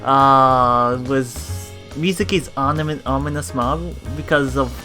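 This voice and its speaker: A woman's voice: rumbling low, slow pace, sharp tone. A woman giving a casual, giggly commentary while reading visual novel lines aloud, often reacting and repeating words. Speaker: let's play streamer. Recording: music behind the voice. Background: visual novel game music.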